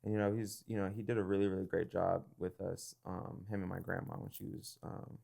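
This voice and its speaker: A young man hosting a casual interview, relaxed and thoughtful, often affirming with quick "yeah"s and pausing with fillers. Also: sits low, vocal fry. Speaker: podcast host.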